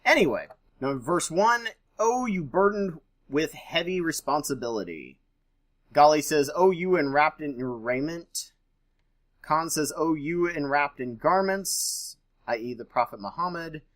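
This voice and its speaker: A man's voice: on the high side, animated, measured pace, a bit bright. A man reading aloud and adding his own informal commentary as host, with a skeptical, dryly sarcastic manner.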